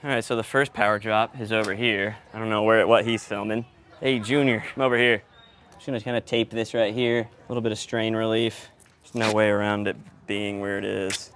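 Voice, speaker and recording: A man's voice: fairly low pitch, flat delivery, brisk, a bit bright. A man talking casually and teasingly as he explains the work he is doing on location. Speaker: sound engineer and host. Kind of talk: casual chat while working. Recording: clear background noise, on-location audio.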